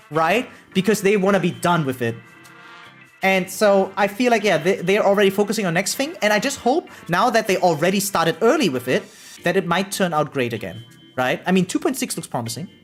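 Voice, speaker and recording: A woman game streamer chatting casually and opinionatedly on a live stream, swearing freely and often checking in with her listeners. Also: medium pitch. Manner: animated, opinionated venting with rhetorical check-ins.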